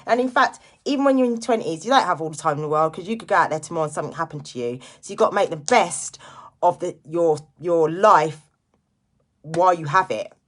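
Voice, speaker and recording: A woman's voice: lowish voice, lively intonation, quick pace, a bit bright. A woman in her late forties giving a casual motivational live chat, speaking informally and directly to listeners and repeating key phrases for emphasis. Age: late 40s. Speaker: live-stream host, direct seller giving motivational talk.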